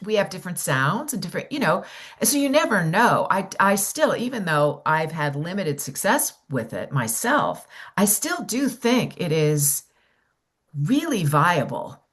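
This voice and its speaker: Low-voiced middle-aged woman coaching. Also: fairly bright tone. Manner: rambling, many restarts and "you know". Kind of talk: casual Q&A advice on a video call. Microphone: video call.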